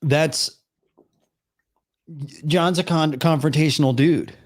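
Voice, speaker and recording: A man's voice: fairly high pitch, fairly even intonation, slow. A man hosting a call-in livestream, chatting loosely with callers through a studio microphone. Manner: loose, rambling, many restarts. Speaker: livestream call-in show host. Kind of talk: casual livestream chat. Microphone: studio dynamic microphone.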